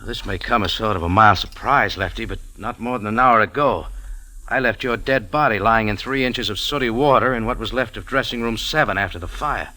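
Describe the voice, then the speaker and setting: A man's voice: on the low side, a little fast, pushed and bright, poor audio. A man acting a tough, wry amateur sleuth in an old-time radio detective drama, both narrating and in dialogue. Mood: pressing, dry. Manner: hardboiled, wry. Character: tough, wry male cafe owner turned amateur sleuth. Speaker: narrator and lead actor. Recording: vintage radio recording with music.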